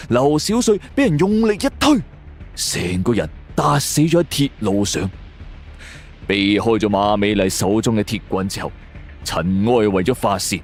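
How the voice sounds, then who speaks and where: A man's voice: mid-range voice, a little mellow. A man with a Hong Kong Cantonese accent narrating a scripted suspense story in a theatrical, suspenseful storyteller's manner. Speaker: audio drama narrator. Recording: music bed under the voice. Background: background music.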